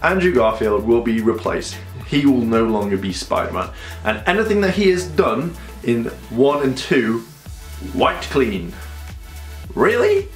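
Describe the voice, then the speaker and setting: A stuffy-nosed man vlogging in an echoey room, his voice sitting at an ordinary middle pitch. He rambles through his opinions and keeps jumping up into shrill outbursts. Faint music plays underneath. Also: unhurried. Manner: animated, rambling, with sudden high-pitched outbursts. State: congested from a cold. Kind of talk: casual opinion vlog to camera. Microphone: camera on a tripod.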